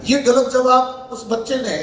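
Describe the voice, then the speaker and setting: A hoarse male teacher, giving a heartfelt, reflective address at a public event. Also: extremely high pitch, fairly even intonation, unhurried. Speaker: teacher at coaching institute. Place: large hall. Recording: distant voice in echoey hall with faint noise.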